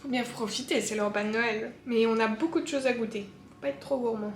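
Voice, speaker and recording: Chatty high-voiced woman, echoey room. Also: fairly even intonation, a little fast, music in the background. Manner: chatty, candid, many fillers. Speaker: food-tasting vlogger. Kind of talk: casual taste-test chat. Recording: echoey room with faint background hum.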